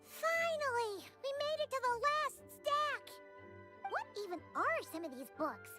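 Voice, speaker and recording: A tiny, excitable fairy sidekick from a video game. The voice is extremely high, squeaky and childlike, with chirpy, bouncing intonation. Bright game music plays behind it. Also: female voice, a little slow, heavily acted. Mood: amazed, curious. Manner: chirpy, speaks of self in third person. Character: small excitable childlike floating fairy companion.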